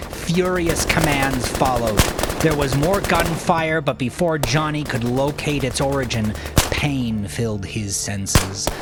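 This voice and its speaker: A man reading scripted fiction in a dramatic, measured way, dropping into hushed, breathy phrase endings. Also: mid-range voice. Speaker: audiobook narrator.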